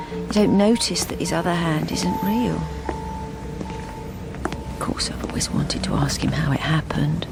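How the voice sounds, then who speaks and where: An actress playing a timid, self-effacing, lonely British wife in a radio drama, confiding in a plain, hesitant, apologetic way. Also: female voice, fairly low pitch, gravelly. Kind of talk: radio drama with intimate first-person narration.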